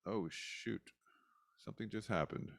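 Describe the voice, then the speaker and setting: A man with a low, smooth voice casually guiding a group singing session in an easygoing, encouraging way. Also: unhurried, no echo, clean studio sound. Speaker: singing circle leader.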